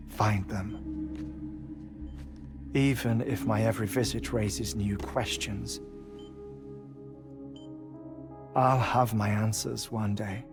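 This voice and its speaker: An actor playing an eccentric, grieving middle-aged scientist in a video game, speaking in a deliberate, theatrical, eloquent way with thoughtful pauses. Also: male voice, fairly low pitch. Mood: resolute, wistful. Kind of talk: dramatic game cutscene acting. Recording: game soundtrack music behind voice. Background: music.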